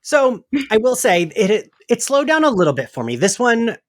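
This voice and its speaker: A man's voice: high-pitched, big pitch swings, moderate pace, dry recording, clean studio sound. A man hosting a podcast in casual chat, conversational and peppered with "like" and tag questions. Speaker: podcast host.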